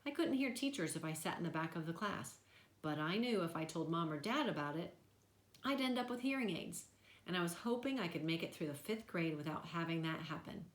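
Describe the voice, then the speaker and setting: Female storyteller, slightly low voice, expressive children's book narration, audibly roomy recording. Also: fairly quick. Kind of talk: expressive children's novel read-aloud.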